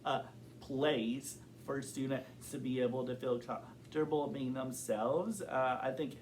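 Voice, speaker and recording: A young man who stutters talks slowly and carefully, repeating words and leaning on fillers as he works through his thoughts. Faint background hiss sits under his voice. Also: mid-range voice, a little mellow. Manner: thoughtful, open. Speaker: interviewee. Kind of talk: casual interview.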